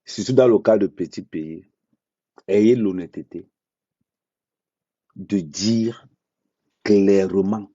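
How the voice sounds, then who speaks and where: A man speaking bluntly in an unscripted live monologue, repeating phrases for emphasis and talking slowly and deliberately. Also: lowish voice, mellow, husky, no room sound. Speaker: social media commentator. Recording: dull lo-fi dry sound.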